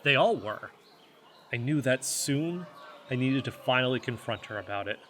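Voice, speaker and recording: A man's voice: mid-range voice, lively intonation, moderate pace, a bit bright, a little hiss or hum, natural voice. A man playing a grave narrator in a scripted mystery audio drama.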